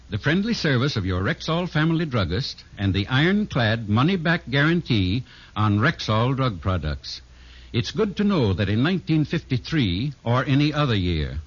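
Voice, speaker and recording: A man's voice: mid-range voice, breathy edge. A man reading a scripted 1950s radio commercial as a sponsor's druggist spokesman. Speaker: sponsor announcer speaking as druggist. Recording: dull, muffled 1950s radio recording.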